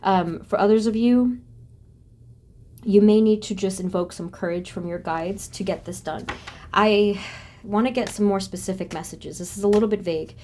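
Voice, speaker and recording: A woman reading tarot cards for her viewers, talking softly and haltingly with many pauses and restarts. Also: mid-range voice, slight background noise. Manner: soft, halting, with frequent ums and restarts. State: voice briefly going hoarse and quiet. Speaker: tarot reader. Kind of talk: pick-a-card tarot reading.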